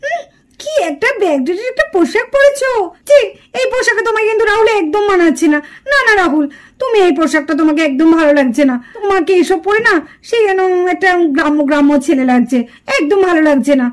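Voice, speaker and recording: Woman voicing a very high, pouty vain city girl. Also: animated, quick pace. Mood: fussy, disapproving. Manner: gushing, pouty, affected, sprinkled with English. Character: vain, whiny young city woman back from London. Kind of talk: puppet cartoon drama acting.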